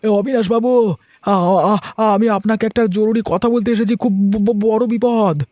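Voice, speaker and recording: A man's voice: high voice, little pitch movement, normal speed, dark tone, dry recording, clean studio sound, natural voice. A man voicing an anxious, guilt-ridden village man in a fairy tale cartoon. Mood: agitated, urgent. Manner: agitated, stammering when alarmed. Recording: voice over background music.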